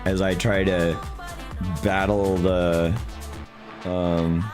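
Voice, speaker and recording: A man streaming a driving game with a hoarse, unusually deep voice from losing it, talking quietly and chatting with viewers. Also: flat delivery, very slow, music in the background. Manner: talks quietly to spare his voice; chats to viewers, chuckles at himself. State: hoarse, lost voice. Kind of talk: casual livestream commentary while playing.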